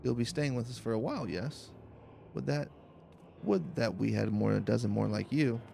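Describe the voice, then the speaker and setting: A man's voice: medium pitch, moderate pace, gravelly, warm tone, a little hiss or hum. A man voicing a genial, joking fantasy game official with courteous, old-fashioned phrasing. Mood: amiable, teasing. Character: genial, jesting official welcoming a hero.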